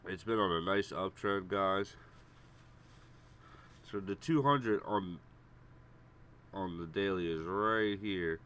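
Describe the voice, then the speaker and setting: A man's voice: deep voice, slow pace, pauses often, a bit bright, slight background noise. A man with a stuffy head cold hosts a live stream, casually walking viewers through chart levels and price targets. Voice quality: congested, nasal. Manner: casual, repetitive, addresses viewers as guys. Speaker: stock trading streamer. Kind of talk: live premarket chart walkthrough.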